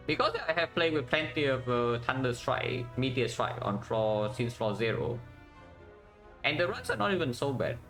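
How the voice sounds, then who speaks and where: A man's voice: medium pitch, fairly bright tone. A man with a Vietnamese accent streaming a card game, thinking aloud and chatting with viewers, often ending with "yeah?". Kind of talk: casual live-stream commentary.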